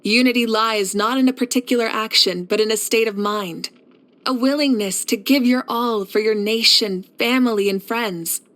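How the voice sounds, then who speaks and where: A woman voicing a steadfast, caring warrior leader in a video game, speaking in a measured, reflective and assured way. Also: fairly high pitch, fairly bright tone, close to an everyday voice. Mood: calm, assured. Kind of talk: scripted video game character voice lines.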